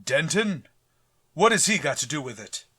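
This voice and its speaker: A man's voice: a little high, sing-song, moderate pace, sharp tone, husky, dry recording, heavily acted. Man playing a pompous, stuffy school principal. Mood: disdainful, dreading. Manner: stuffy, formal, dryly sarcastic. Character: pompous, grumbling middle-aged male high school principal. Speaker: actor. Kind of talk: old-time radio comedy acting.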